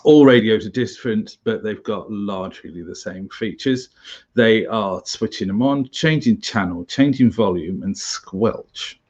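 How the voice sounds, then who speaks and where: A British man giving an informal tutorial as an instructor, halting and chatty with wry asides. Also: on the low side, darkish tone, dull audio. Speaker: survival instructor, presenter.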